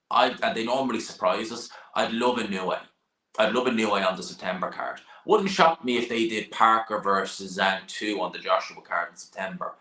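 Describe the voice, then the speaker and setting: A man's voice: low voice, pushed and bright, echoey room, low-quality recording. A young man from Dublin with an Irish accent, casually answering viewer questions about boxing on a live stream, rambling and repeating himself. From Ireland. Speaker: boxing channel host.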